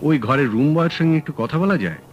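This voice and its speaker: A man performs a sharp young private detective in a vintage radio play. He fires off brisk, probing questions, and his pitch rises and falls a lot. The sound is dull and muffled, like an old broadcast. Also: lively intonation, slight background noise, close to an everyday voice. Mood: calm, probing. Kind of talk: 1970s radio drama acting.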